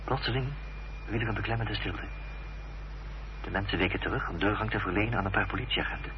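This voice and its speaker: Radio drama lead: actor as earnest gentleman narrator, deliberate suspenseful delivery, dull vintage sound. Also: lowish voice, noisy background, natural voice. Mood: sombre. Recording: dull, faintly humming old radio recording.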